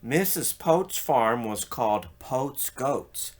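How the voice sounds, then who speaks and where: A man reading a children's storybook aloud in an expressive narrating style. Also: mid-range voice, slow, a little hiss or hum. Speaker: storybook reader.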